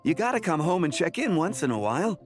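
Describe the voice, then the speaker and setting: A man's voice: on the high side, big pitch swings, fast. A man acting as an easygoing, nagging older brother in a video game, talking in a casual, awkwardly friendly way. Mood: gently nagging. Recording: background music under the dialogue.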